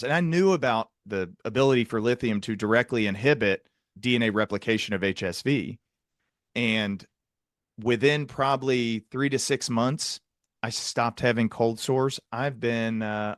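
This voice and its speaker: A man hosting a podcast, talking through clinical topics in a halting, thoughtful, conversational way with technical vocabulary. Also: mid-range voice, dry recording, studio quality. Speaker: podcast host, psychiatrist.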